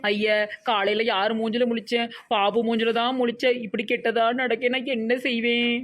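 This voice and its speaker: A woman's voice: on the high side, level tone, normal speed, highs cut off, lightly acted. A woman with a Tirunelveli Tamil accent voicing a boastful, dramatic young newly married village woman in a comedy cartoon. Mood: wailing, indignant. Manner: whiny, pleading, melodramatic.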